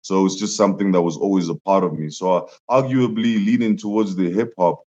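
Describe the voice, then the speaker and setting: A young man with a very low, deep voice tells his story in a relaxed interview. He gets animated, often laughing and lifting his voice for quoted lines. The sound is dull and lo-fi. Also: a little fast. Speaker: musician guest.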